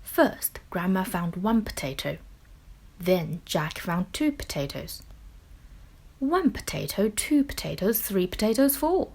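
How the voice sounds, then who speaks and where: A woman reading a children's picture book aloud in expressive, deliberate narration with dramatic phrasing. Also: medium pitch, slightly rough. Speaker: children's story reader.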